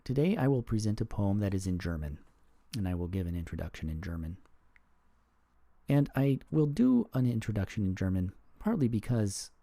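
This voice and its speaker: Low, mellow-voiced man hosting a podcast. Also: dry recording. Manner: thoughtful, searching for words. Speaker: podcast host. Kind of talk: reflective podcast monologue.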